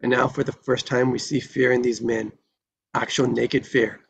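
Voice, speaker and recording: A man's voice: mid-range voice, little pitch movement, moderate pace, creaky, breathy edge, dull audio. A man reading screenplay stage directions in a dramatic narrating style at a table read.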